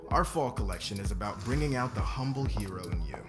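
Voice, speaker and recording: A man acts out a demanding male boss in an audio roleplay. His voice sits low and stays measured and commanding, with a formal polish. Music plays clearly underneath him. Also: animated, natural voice. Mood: polished, confident. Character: demanding, controlling fashion company boss, a man. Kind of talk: ASMR audio roleplay acting.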